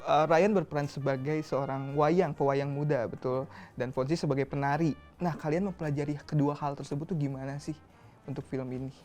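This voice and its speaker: An interview host asking questions in a lively, friendly way. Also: medium pitch, fairly quick, faint music behind. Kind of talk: casual interview.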